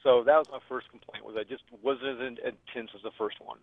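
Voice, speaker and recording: A man's voice: mid-range voice, steady tone, a little fast. A man leaving a casual spoken review as a caller over the telephone. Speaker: caller giving a film review. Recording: dull, dry, phone-line voicemail.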